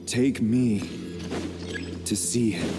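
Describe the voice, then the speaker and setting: An actor playing a solemn, mysterious male figure in a video game, speaking in a drawn-out, pleading manner. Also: fairly low pitch. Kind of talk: video game character voice acting. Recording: voice over game music.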